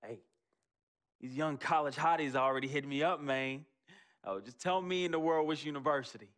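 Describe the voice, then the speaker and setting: An actor playing a joking young best friend who talks in slang in a sports game cutscene. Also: male voice, on the high side, normal speed, a bit bright, natural voice. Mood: hyped.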